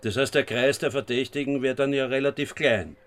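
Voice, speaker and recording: A man's voice: medium pitch, steady tone, brisk, a bit bright, close to an everyday voice. An actor playing a probing, dryly matter-of-fact male investigator in a radio drama.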